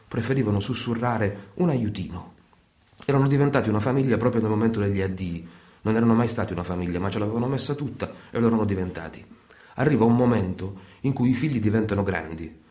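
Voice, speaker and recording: A man's voice: on the low side, darkish tone. A man narrating radio theatre as a storyteller, colloquial and dramatic, in clipped short phrases. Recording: roomy, echoing sound.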